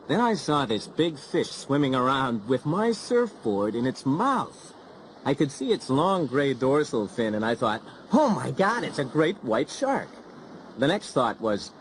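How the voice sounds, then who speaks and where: A man's voice: mid-range voice, slight background noise, poor audio. A man voicing a lifeguard telling of surviving a shark attack, with vivid storytelling. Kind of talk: scripted textbook monologue.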